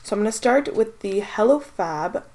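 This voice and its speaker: A woman's voice: mid-range voice, animated, normal speed, mellow. A young woman vlogging about skincare samples, stuffed up from a cold, chatty and hesitant with lots of fillers as she thinks aloud. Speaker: beauty vlogger. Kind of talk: casual product-testing vlog.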